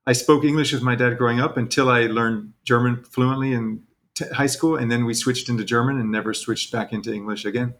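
A man's voice: mid-range voice, fairly even intonation, brisk, a bit bright. A man from Texas talking casually as an interview guest, in a self-deprecating way. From Texas, United States.

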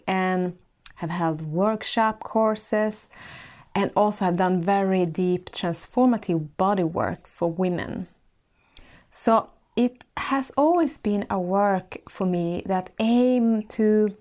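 A woman with a Swedish accent, a native Swedish speaker, hosting a solo podcast as a life coach and searching gently for words in English with small restarts. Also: medium pitch. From Sweden.

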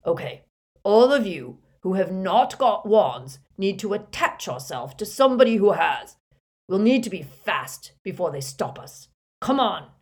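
Woman voicing young wizard faking authority, blustering and loud, audiobook. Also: medium pitch, sing-song, a little slow. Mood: commanding. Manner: forceful, blustering orders. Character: young wizard disguised as a burly official, commanding.

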